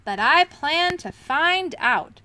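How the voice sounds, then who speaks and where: A woman's voice: high voice, huge pitch swings, normal speed, bright, forceful tone, no echo, pitched above the performer's own voice, exaggerated voice, poor audio. A woman reading a firm, earnest male leader.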